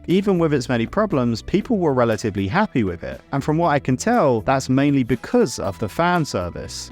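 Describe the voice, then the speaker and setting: A man narrating a scripted video essay as host, casual and opinionated, with wry asides and the odd swear word. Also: mid-range voice.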